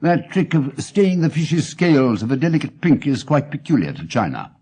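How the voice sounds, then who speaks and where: An actor reading as a cool, amused gentleman detective with an RP English accent and a dry, clipped manner. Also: on the high side, very animated, a little fast, slightly rough, exaggerated voice. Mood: dryly amused. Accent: English, Received Pronunciation.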